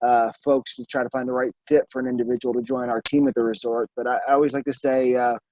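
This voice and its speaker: A male podcast host introducing his guest with enthusiasm, often pausing on fillers. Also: mid-range voice, little pitch movement, rough recording. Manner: enthusiastic, halting, many fillers. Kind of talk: casual interview. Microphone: remote recording.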